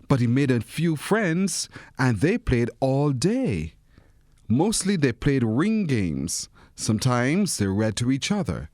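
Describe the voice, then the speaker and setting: A man's voice: medium pitch, a little mellow, no room sound, studio quality. A man reading a children's storybook expressively, as a narrator who acts out the characters. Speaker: story reader.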